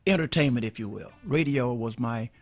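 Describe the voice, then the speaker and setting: An older man from Mississippi, a former radio DJ and minister, telling his life story in a relaxed, folksy way with many restarts and chuckles. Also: mid-range voice, moderate pace, soft background music. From Mississippi, USA.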